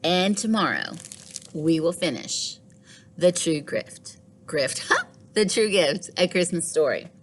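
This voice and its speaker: A woman teacher reading a storybook aloud to children in an expressive way, with deliberate dramatic pauses. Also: fairly low pitch.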